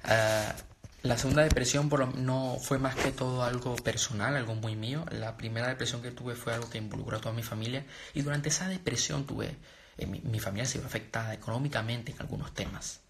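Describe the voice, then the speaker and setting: A man's voice: medium pitch, fairly even intonation, a little fast, gravelly, slight background noise. A young man from Venezuela giving an earnest, rambling motivational talk to viewers, full of restarts and fillers. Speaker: personal development and trading coach. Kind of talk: casual live-stream talk to viewers.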